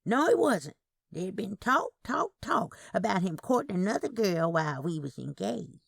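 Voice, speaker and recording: A woman voicing a frail, wistful twin aunt in her eighties with a soft, sing-song manner, in a Southern Gothic story. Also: medium pitch, very animated, moderate pace, gravelly, breathy edge, no echo, clean studio sound, pitched above the performer's own voice, exaggerated voice. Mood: wistful, insistent. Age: 80s.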